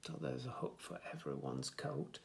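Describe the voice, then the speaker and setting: A man in his fifties reading a picture book aloud to young children, with expressive, sing-song delivery and soft asides. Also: medium pitch, normal speed, vocal fry, noisy background. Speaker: children's story reader, host. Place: small furnished room indoors, bookshelves.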